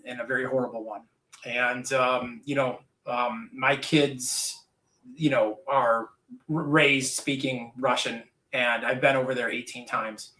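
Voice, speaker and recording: A man's voice: medium pitch, sharp tone. A man in his forties who owns businesses, talking earnestly in a candid podcast conversation, rambling with frequent restarts. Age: 40s. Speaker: business owner. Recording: roomy echo, distant from mic.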